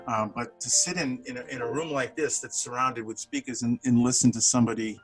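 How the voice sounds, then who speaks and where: A man in his sixties, a studio manager and bass player, chatting casually on a video call, rambling with many restarts and chuckles. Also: steady tone, fairly quick, soft background music, low-quality recording. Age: 60s.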